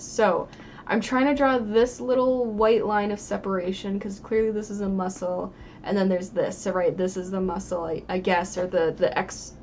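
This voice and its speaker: A woman streamer with a noticeably creaky voice chats casually with her viewers. She rambles and thinks out loud in an informal way, with some steady noise behind her. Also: medium pitch, muffled recording. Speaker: live streamer drawing digital art and chatting with viewers. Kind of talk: casual livestream chat.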